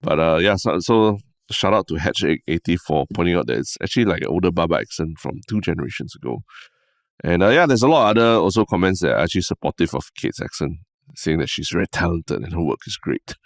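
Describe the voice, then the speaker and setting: A young man with a Singaporean accent co-hosting a casual podcast, relaxed and tagging his sentences with "lah" and "right?". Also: low-pitched, fast talker, no room sound, clean studio sound. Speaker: podcast co-host.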